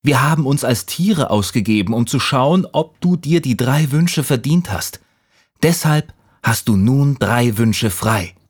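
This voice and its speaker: Man voicing kindly magical elf, lifted pitch. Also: lively intonation, a little slow, breathy edge. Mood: benevolent. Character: three kindly magical elves granting wishes.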